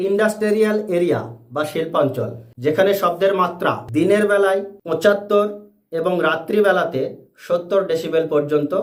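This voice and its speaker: A man presenting a scripted educational explainer in deliberate, separate phrases. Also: high-pitched. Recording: echoey room.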